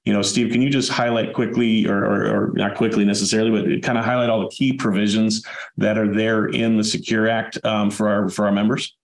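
A man hosting a webinar in a relaxed, conversational way, his voice hoarse, raspy and dry from a chest cold. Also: lowish voice, a little fast. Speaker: webinar host. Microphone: video call. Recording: echoey room over a video call.

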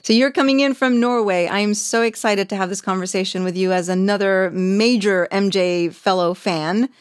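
A woman's voice: mid-range voice, normal speed, no echo, studio quality. Enthusiastic chatty woman host. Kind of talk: casual interview.

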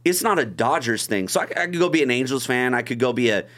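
An earnest man from Long Beach speaks as a podcast guest. He talks in a relaxed, conversational way and often repeats his first words before he gets going. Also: mid-range voice, fast. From Long Beach, California. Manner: earnest, repeats words when starting a thought.

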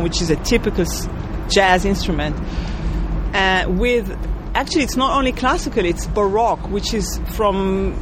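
Israeli woman composer chatting, traffic noise behind, picks words carefully. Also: medium pitch. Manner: thoughtful, searching for words, laughs lightly. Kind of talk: interview answers.

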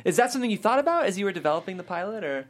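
A woman's voice: mid-range voice, big pitch swings, rapid-fire. A woman interviewing a writer on a panel in a casual, conversational style.

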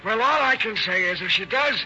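High-voiced cynical newsman. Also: expressive, fairly quick, pushed and bright, exaggerated voice. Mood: cynical griping. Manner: slangy, griping. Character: cynical, slangy press-room reporter, male. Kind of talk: 1930s radio acting. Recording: dull old radio recording.

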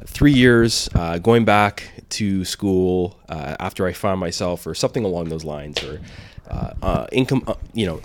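Low-voiced man telling rambling stories. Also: a little hiss or hum. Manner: rambling, lots of fillers, drops to a mutter. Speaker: podcast guest. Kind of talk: casual storytelling chat.

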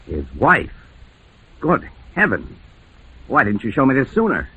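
A man's voice: mid-range voice, huge pitch swings, measured pace, bright, forceful tone, a little hiss or hum, full character voice. A man playing a shrewd, blunt police lieutenant in an old-time radio mystery, with probing, emphatic delivery on a muffled vintage recording. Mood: intrigued.

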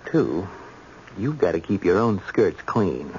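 An actor playing a cautious, wry medical resident in his twenties in a radio drama, measured, hesitant and low-key. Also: big pitch swings, a little mellow, heavily acted. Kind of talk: 1970s radio drama acting. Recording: muffled, noisy old radio recording.

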